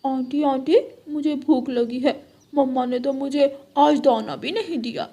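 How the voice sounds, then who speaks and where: A woman's voice: high voice, a little slow, mellow. A woman voicing a naughty young boy crow in a children's moral cartoon, pleading and whiny.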